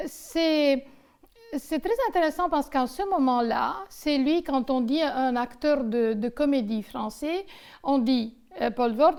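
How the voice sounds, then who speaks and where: A woman's voice: fairly high pitch, creaky, a little mellow. A woman interviewing someone casually about film, talking in a rambling, hesitant way full of digressions.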